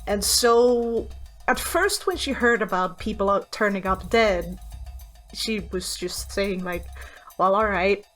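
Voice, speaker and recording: A woman telling her character's side of a tabletop roleplaying game in a casual, musing way, pausing to think. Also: medium pitch, a bit bright, faint music behind. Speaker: tabletop game player, guest.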